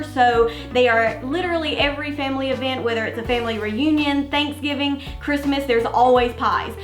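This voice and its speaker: A woman's voice: high-pitched, a bit bright, reverberant. A young woman with a Mississippi Southern accent hosting a casual cooking tutorial, explaining each step in a chatty, friendly way. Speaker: cooking vlogger host.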